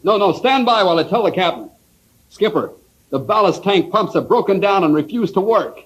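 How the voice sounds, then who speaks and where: Submarine second-in-command, high voice, dutiful and anxious, crisp naval reporting, vintage radio acting. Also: male voice, expressive, a little fast, low-quality recording. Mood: anxious, urgent. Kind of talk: old-time radio drama acting.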